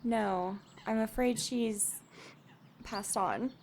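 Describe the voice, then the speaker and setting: An actress playing a polite, soft-spoken bereaved daughter in an audio drama. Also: female voice, a little high, drawn-out words, slight background noise. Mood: subdued. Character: subdued, courteous bereaved daughter.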